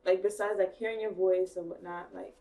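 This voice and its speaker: A woman's voice: medium pitch, steady tone, brisk, warm tone, a little hiss or hum. Woman co-host asking the group questions, lots of "like", bit far off. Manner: asks questions, filler-heavy. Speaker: podcast co-host. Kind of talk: casual chat among friends.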